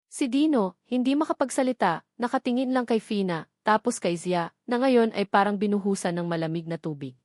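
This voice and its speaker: A woman's voice: medium pitch, fairly quick, a little mellow, no echo. Filipino woman narrating a dramatic story, close and dry. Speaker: audiobook narrator.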